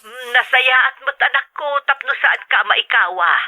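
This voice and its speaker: A woman's voice: sits high, moderate pace, sharp tone. A woman playing a caring, anxious older mother of a grown son, affectionate and solicitous, heard through a telephone filter. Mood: caring, concerned. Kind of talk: dramatic radio-style acting. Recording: narrow tinny telephone line.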